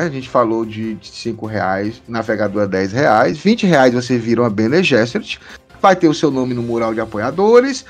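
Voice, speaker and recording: A man hosting a podcast in a casual, chatty way, often repeating himself and checking in with listeners. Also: medium pitch. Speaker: podcast host. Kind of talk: casual podcast chat, explaining supporter tiers.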